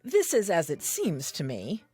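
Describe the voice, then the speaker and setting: A woman's voice: on the low side, wildly swooping pitch, measured pace, dark tone, faint music behind. An actress playing a curious, earnest, newly created first woman, reading her diary aloud with naive, precise self-assurance in an audio play. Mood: wondering.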